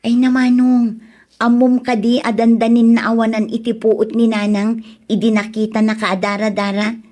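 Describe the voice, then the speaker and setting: An anguished younger sister from an Ilocano radio drama, played by a woman. Her voice sits a bit high and gets breathy and emotional. She pleads and frets over her troubled family. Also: little pitch movement, normal speed, darkish tone, close to an everyday voice. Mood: worried.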